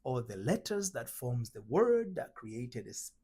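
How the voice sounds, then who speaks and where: A middle-aged man from Tanzania giving a spiritual teaching talk, slow and emphatic, asking rhetorical questions. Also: mid-range voice, sing-song, dark tone, slightly rough. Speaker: identity transformation coach.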